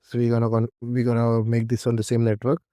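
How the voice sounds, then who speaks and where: Male tutorial presenter, mellow and even-toned, halting step-by-step software walkthrough delivery, close dry sound. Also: mid-range voice, dry recording. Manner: halting, repetitive step-by-step instruction. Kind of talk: unscripted software setup walkthrough.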